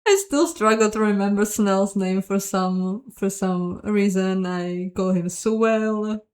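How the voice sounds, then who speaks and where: A woman's voice: medium pitch, takes it slowly, a little mellow. A woman giving casual, chatty let's play commentary, thinking aloud in a self-deprecating way. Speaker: host of a game playthrough, voicing the dialogue. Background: game music.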